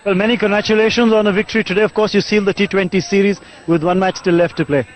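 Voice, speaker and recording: A high-pitched man rattles through a post-match presentation at a brisk clip, smooth and formal like a seasoned broadcaster. He is outdoors at a cricket ground, talking into a handheld mic. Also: steady tone.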